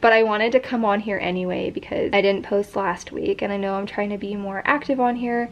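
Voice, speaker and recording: A woman's voice: medium pitch, steady tone, a little fast, muffled recording. A young woman vlogging in a casual chat, congested and stuffy, with a sore throat, talking in a rambling way full of fillers. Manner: chatty, many fillers like "like" and "um".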